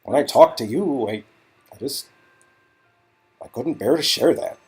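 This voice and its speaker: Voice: medium pitch, expressive, a little slow, a little mellow, slightly rough, faint music behind. A performer voicing a self-deprecating, wisecracking young male Hollywood agent in an earnest, conversational game-dialogue reading. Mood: sheepish.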